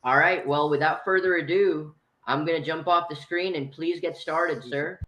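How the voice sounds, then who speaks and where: A man's voice: on the high side, steady tone, normal speed, pushed and bright, roomy sound. A man hosting an online storytelling event, introducing the speaker hesitantly with many fillers over a video call. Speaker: host.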